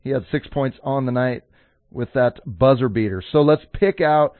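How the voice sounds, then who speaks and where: A man's voice: mid-range voice, moderate pace, no room sound. A man from Colorado co-hosting a local sports radio show, easygoing and reading out scores with enthusiasm. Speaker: radio co-host. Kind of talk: casual sports radio chat. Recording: dry, phone-like narrow sound.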